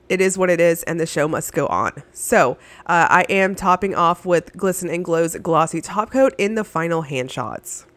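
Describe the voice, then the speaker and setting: A woman with a somewhat low voice, nasal and congested from being sick, narrates a nail polish review. She gushes warmly about each shade, dotting her talk with "uh" and "um". The recording is dry and close, with no room sound. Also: dry recording. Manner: enthusiastic, gushing, with frequent fillers. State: stuffy, under the weather. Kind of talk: voice-over product review.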